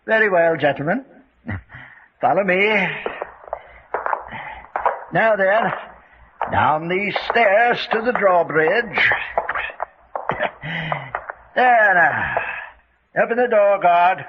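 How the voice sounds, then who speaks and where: Radio-serial villain: high voice, oily mock courtesy, chuckling menace, very noisy recording. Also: male voice, expressive, slow pace, long pauses. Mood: brisk, smug. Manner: chuckling, mock-polite, savoring his words. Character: gloating, falsely genial villain running a castle prison. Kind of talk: radio mystery serial acting. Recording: noisy, dull old-style radio recording.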